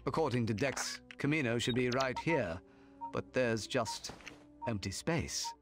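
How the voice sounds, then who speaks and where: A man's voice: mid-range voice, lively intonation, a little slow, over music, close to an everyday voice. A man playing a composed, polite Jedi knight in a video game, with a courteous, authoritative manner. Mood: puzzled. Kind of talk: video game cutscene acting.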